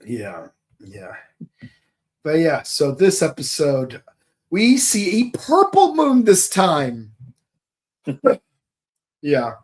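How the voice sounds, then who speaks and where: A man co-hosting a podcast, chatting casually and joking as he rambles and thinks out loud. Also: on the high side, wildly swooping pitch, drawn-out words, pauses often. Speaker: podcast co-host. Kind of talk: casual chat about a TV series.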